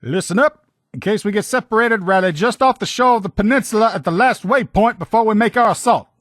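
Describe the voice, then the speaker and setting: A man voicing a gruff, loyal military lieutenant in a video game, with blunt, profane, folksy soldier talk. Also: high-pitched, fairly quick, a bit bright, no room sound, clean studio sound. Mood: briefing, commanding. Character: gruff, loyal military lieutenant in power armor, protective comrade. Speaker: voice actor in a game mod. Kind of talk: video game character dialogue lines.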